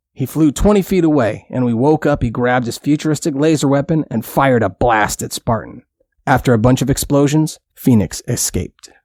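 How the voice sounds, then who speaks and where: A man's voice: mid-range voice, darkish tone, dry recording. Dry, close male storybook narrator. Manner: plain, simple storytelling. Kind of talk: scripted bedtime story narration for children.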